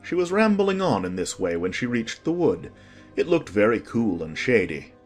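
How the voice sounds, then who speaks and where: A narrator reading a children's storybook in an expressive, scripted storytelling manner. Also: medium pitch, moderate pace, faint music behind. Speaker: audiobook narrator.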